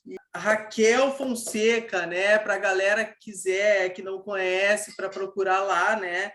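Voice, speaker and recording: Bright-voiced Brazilian man in an echoey room. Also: high-pitched, fairly even intonation, slow. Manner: informal, slangy, encouraging. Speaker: podcast host, interviewer. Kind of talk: casual interview chat.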